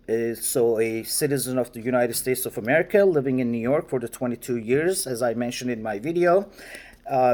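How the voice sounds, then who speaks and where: A man in his forties from Turkey, speaking English with a Turkish accent in a formal, deliberate way, pausing to search for words in a response vlog. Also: medium pitch, darkish tone. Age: 40s. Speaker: vlogger. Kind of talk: direct-to-camera response monologue.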